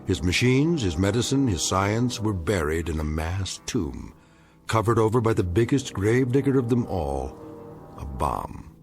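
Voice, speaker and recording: Grave anthology narrator, low pitch, portentous, measured scripted delivery, clear music bed. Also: male voice, very animated. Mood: solemn, ominous.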